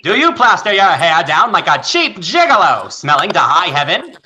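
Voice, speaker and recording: A man's voice: high-pitched, huge pitch swings, moderate pace, pushed and bright, slight background noise, voice pushed up, full character voice. A man playing a peppy old-time radio announcer, selling with exaggerated 1940s salesman punch. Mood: hammy, inquiring. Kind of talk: 1940s radio advertisement acting.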